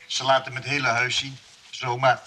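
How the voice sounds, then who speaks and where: A man's voice: mid-range voice, monotone, brisk, over music, close to an everyday voice. A man acting in a comic radio play as an earnest, wary lodger who tells things hesitantly. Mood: reporting. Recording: slightly noisy old radio play.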